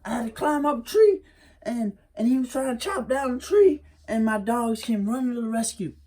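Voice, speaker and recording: A man voicing a frightened young country boy with a high, twangy drawl. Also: normal speed, a little mellow. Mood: breathless.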